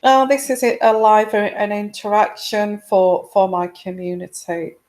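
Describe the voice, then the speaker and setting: A woman hosting a live tarot show, speaking quietly through a sore throat from a virus, hesitant with many pauses and restarts. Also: mid-range voice, steady tone. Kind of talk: casual live-stream chat.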